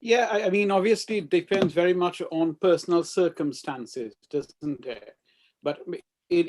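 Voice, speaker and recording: A man from Trinidad, a doctor on a panel, speaking in a measured way with frequent restarts. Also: on the high side, darkish tone. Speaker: surgeon panelist. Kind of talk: online panel discussion.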